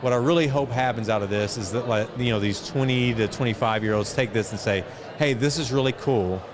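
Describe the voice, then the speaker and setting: A man's voice: mid-range voice, a little fast, crowd noise. A middle-aged man speaking as an interview guest in a reflective, conversational way. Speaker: guest, entrepreneur and foundation chairman. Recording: noisy outdoor event, street and vehicle ambience.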